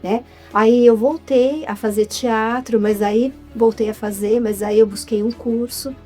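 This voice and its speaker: A middle-aged woman from Jundiaí with a São Paulo state accent, telling her story as a guest in a chatty, relaxed interview. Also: a little high, a little slow, faint music behind. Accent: Brazilian Portuguese, São Paulo state. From Jundiaí, Brazil. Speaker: guest, writer and actress. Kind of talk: casual interview.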